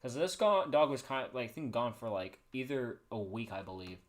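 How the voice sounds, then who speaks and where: A man's voice: mid-range voice, fairly quick. A man hosting a livestream, telling stories casually in a rambling way, often restarting and repeating his phrases. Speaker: livestream host. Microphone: streaming microphone he adjusts by hand.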